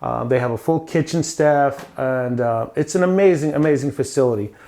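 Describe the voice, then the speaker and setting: A man in his forties from the United States, asking questions in a relaxed, conversational way. He rambles a little, repeats himself and steps aside to speak straight to the viewers. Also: mid-range voice, normal speed, creaky. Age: 40s. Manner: chatty, friendly, digresses to the viewers. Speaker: patient interviewer. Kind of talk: casual interview.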